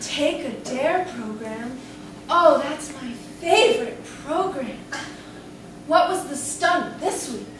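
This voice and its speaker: A woman playing a curious, excitable, girlish young castaway in a staged comic radio play. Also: high-pitched, takes it slowly. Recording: very echoey room, distant mic, clear noise.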